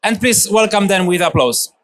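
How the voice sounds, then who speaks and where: A man's voice: sits high, animated, normal speed, fairly bright tone. A man moderating a live conference panel, asking guests conversational questions on stage.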